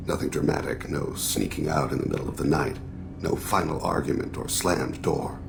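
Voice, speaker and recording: Gravelly, extremely deep alien assassin. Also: male voice, fairly even intonation, noisy background, full character voice. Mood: solemn regret. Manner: measured, formal, introspective phrasing. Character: solemn alien assassin, grieving, regretful estranged father. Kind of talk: video game dramatic dialogue.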